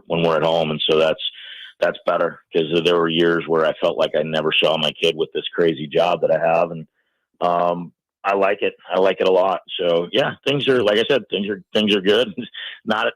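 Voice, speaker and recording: A man's voice: deep voice, dry recording, poor audio. A middle-aged American man chatting casually as a radio interview guest, with a joking, self-deprecating manner.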